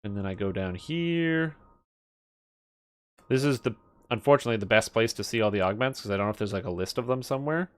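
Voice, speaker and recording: A man's voice: fairly low pitch, expressive, fairly quick, pauses often, clean tone. A man giving casual live game stream commentary, thinking aloud as he mutters through details and swears now and then. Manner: muttering through item stats, profane.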